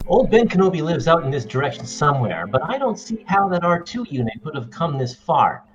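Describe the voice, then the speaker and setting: A man's voice: on the high side, sing-song, fairly quick, dull audio. A man playing a frustrated, earnest young farm boy in an amateur table read of a film script.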